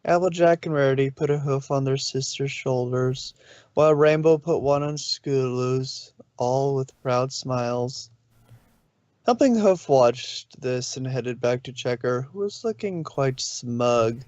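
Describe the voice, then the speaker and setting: A man's voice: medium pitch, a little slow, a little mellow, dry recording. A man narrates a scripted story in an ordinary mid-range voice, occasionally tripping over a word and fixing it. The recording is close and dry but slightly dull. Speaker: narrator of a fan fiction reading.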